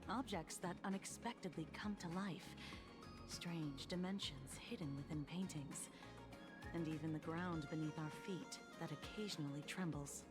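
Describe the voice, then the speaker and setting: A woman as a low-voiced, formal female officer. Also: lightly acted. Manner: formal, measured, authoritative. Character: composed, dutiful young female law-enforcement officer. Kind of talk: game dialogue voice acting. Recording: music behind the voice.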